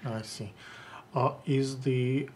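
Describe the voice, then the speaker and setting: A man with a Russian accent interviewing a guest, asking questions in a halting way with many filler sounds. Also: medium pitch, fairly even intonation.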